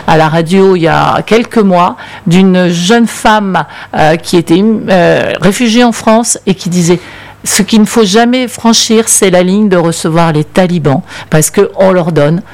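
A woman's voice: on the low side, normal speed, a little mellow. A woman speaking on a radio discussion show, ironic and indignant, reading out excerpts.

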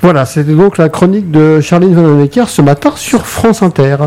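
Mellow-voiced hesitant male radio host. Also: fairly high pitch, fairly quick, faint music behind. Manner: hesitant, searches for words. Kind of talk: casual radio discussion.

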